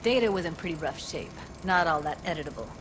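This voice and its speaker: An actress playing a dry, no-nonsense technician in a cyberpunk video game, speaking tersely. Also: female voice, expressive, quick pace, close to an everyday voice. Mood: matter-of-fact. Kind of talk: video game dramatic acting. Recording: noisy, rumbling background.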